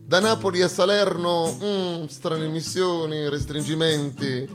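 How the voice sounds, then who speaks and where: A man delivers a theatrical comic monologue with emphatic, ironic phrasing and dramatic pauses. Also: a little high, steady tone, soft background music. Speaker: stage actor performing a satirical monologue.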